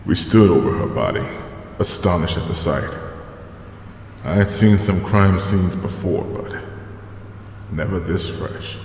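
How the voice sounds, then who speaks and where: A man's voice: low voice, measured pace, long pauses. A man playing a sober, world-weary detective in an audio drama, recorded with reverb. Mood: grim. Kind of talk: audio drama acting and narration. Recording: reverberant room, faint background noise.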